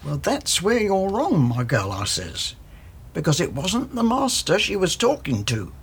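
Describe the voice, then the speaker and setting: An actress playing a blunt, gossipy older British female servant in a radio drama, with a raspy voice and folksy, animated delivery. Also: fairly low pitch, measured pace, full character voice. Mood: gossipy, emphatic. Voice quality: raspy, creaky. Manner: animated storytelling in folksy working-class speech.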